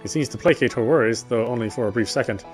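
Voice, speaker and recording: Man dramatically reading aloud, lower-middle voice. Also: fast talker. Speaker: gamer reading the game text aloud. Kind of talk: dramatic read-aloud narration of a visual novel. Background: faint game music.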